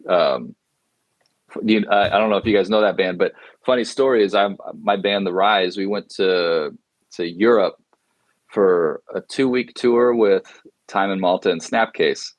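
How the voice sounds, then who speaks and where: Deep voiced guy in his 40s, rambly storyteller w lots of ums, dry room sound. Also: measured pace, no room sound. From grew up in Indonesia. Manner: rambling, many fillers and restarts, laughs often. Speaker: guest musician. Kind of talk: casual interview storytelling.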